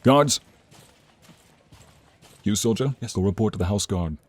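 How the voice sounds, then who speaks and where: A man's voice: lowish voice, measured pace, slightly rough. A man playing a commanding, protective king in a dramatic audio role play, formal and regal in his way of talking. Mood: commanding, angry.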